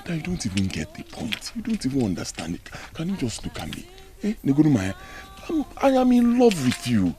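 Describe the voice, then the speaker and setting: A pleading young prince. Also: male voice, a little high, huge pitch swings, fairly quick. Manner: pleading, swings between hush and force.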